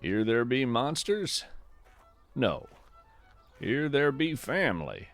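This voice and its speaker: A man narrates a nature podcast in a contemplative, scripted style. He speaks slowly with a lively, varied pitch and a gently wry manner. Faint fiddle music plays behind him.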